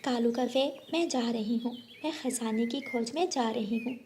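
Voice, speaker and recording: Woman voicing an earnest, high-pitched little bird. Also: moderate pace, a little mellow, a little hiss or hum, close to an everyday voice. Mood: reproachful. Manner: pleading, earnest. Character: brave, kind little female bird, earnest and clever. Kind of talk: Hindi children's cartoon acting.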